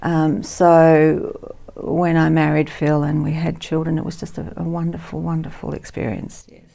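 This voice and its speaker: Woman in her sixties with a gravelly voice. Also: low voice, completely flat delivery, normal speed. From Netherlands, raised in Australia. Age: 60s. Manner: warm, reflective, frequent ums and soft laughs. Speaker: guest. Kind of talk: TV studio interview.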